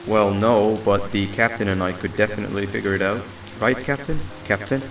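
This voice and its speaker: Weary, whiny game creature sidekick, male actor, lowish voice, sarcastic, echoey with music behind. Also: steady tone, brisk, far from the mic, noisy background, natural voice. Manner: casual, grumbling. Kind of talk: video game character dialogue.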